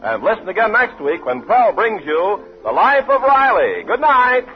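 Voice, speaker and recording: Animated high-voiced man announcing on old radio. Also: normal speed. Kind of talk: 1940s radio announcing and advertising. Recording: muffled, faintly noisy 1940s radio recording.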